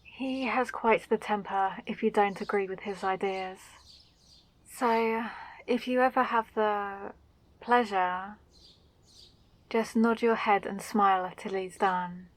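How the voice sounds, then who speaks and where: A woman voicing a friendly, caring and curious female mage in a fantasy roleplay audio, speaking gently and attentively. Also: mid-range voice, fairly even intonation, takes it slowly, lightly acted. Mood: explaining. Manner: gentle, eager, attentive questioning. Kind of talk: roleplay audio drama for the listener.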